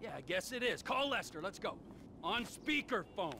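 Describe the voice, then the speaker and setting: A man playing a menacing, mocking, unhinged middle-aged criminal in a video game, with a gravelly, taunting delivery. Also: high voice, big pitch swings, background noise. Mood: gleeful menace. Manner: taunting, drawn-out, volatile. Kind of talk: cinematic game acting.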